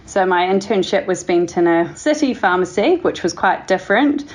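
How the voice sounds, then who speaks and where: New Zealand woman, lowish voice. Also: lively intonation, moderate pace. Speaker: guest pharmacist and pharmacy owner. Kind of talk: casual interview storytelling.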